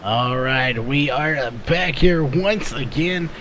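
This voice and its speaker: Hoarse race commentator with engines behind. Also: male voice, medium pitch, slow pace, slight background noise. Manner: steady, methodical calling of lap times. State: losing his voice. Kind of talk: play-by-play commentary.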